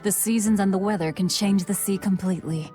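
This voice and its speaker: A woman's voice: medium pitch, fairly even intonation, brisk, dark tone, faint music behind, natural voice. A woman playing a cold, pragmatic, guarded young female fighter in dubbed game dialogue, terse and detached. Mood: reserved, curious.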